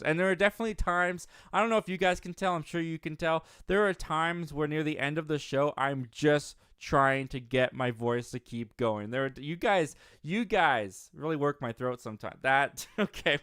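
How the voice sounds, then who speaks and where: A man's voice: fairly high pitch, fairly bright tone, clean tone, no room sound, clean studio sound. A young man hosting a live movie stream, reading viewer comments aloud in an upbeat, rambling way with many restarts. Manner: upbeat, rambling, frequent restarts and self-corrections.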